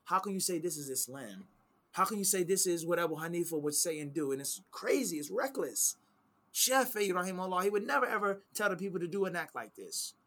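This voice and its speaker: A man's voice: high-pitched, animated, a little fast, sharp tone. A man explaining religious principles in a conversation. He is emphatic, repeats key phrases and quotes Arabic texts. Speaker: Islamic teacher answering questions.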